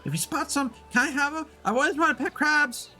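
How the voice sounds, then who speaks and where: A man's voice: extremely high pitch, a little fast, sharp tone, soft background music, voice pushed up, exaggerated voice. A man voicing an eager, pleading young boy in a video game who loves small creatures. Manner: childlike, pleading.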